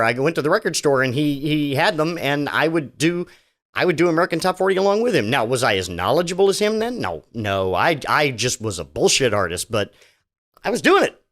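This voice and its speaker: A man's voice: fairly high pitch, big pitch swings, a little fast, fairly bright tone. A middle-aged man hosting a solo podcast, talking casually and sarcastically, rambling with many restarts. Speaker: podcast host.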